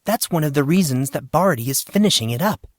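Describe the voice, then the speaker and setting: A man voicing a calm, firm teenage girl, an elder sister with a composed, corrective manner in an audio story. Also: a little high, brisk, no room sound, studio quality, pitched above the performer's own voice, natural voice.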